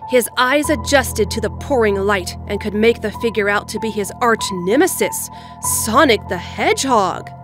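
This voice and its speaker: A woman reading scripted story narration in a measured, storybook delivery, as the narrator of an audio drama. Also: mid-range voice, expressive. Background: music.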